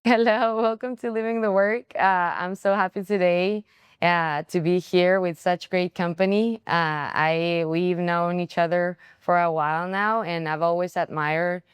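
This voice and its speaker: A woman's voice: on the low side, fairly even intonation, a little slow, clean tone, no echo. A woman hosting a podcast, friendly and admiring, rambling a little with fillers as she introduces her guests. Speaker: podcast host. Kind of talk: casual interviewing.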